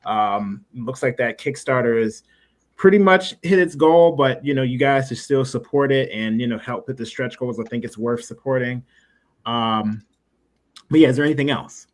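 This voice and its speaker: A man with a mid-range voice hosts a livestream in a casual, chatty style. He rambles along encouragingly, often pausing to search for the right word. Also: medium pitch. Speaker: livestream show host. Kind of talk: casual livestream interview chat.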